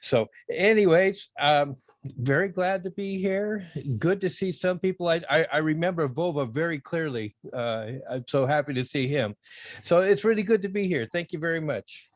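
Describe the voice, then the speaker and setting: A man's voice: a little high, animated, normal speed, no room sound. A man from England introducing himself to an online meeting in a friendly way, with some stumbling restarts. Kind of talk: casual self-introduction.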